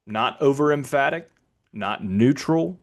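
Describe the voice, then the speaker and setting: An American man who works as a public address announcer, giving an instructive talk in a measured, deliberate way. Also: mid-range voice, expressive. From Birmingham, Alabama, United States. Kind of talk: explanatory talk with announcing demonstrations.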